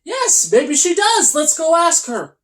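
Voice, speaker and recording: A storyteller voicing an anxious, excitable male unicorn who pleads and shouts in a childlike, frantic way. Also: extremely high pitch, takes it slowly, pitched above the performer's own voice, heavily acted. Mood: worked up.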